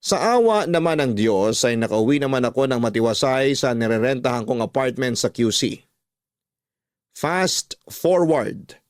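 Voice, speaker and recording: A man's voice: mid-range voice, lively intonation, measured pace, no echo, studio quality, lightly acted. A man narrating a scripted first-person radio story as an uncle recounting his family troubles. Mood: reflective.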